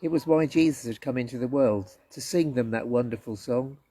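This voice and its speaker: Husky, mellow man reading a children's story. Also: mid-range voice, a little fast, dry recording. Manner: gentle, expressive storytelling with dramatic emphasis. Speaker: story reader at a church.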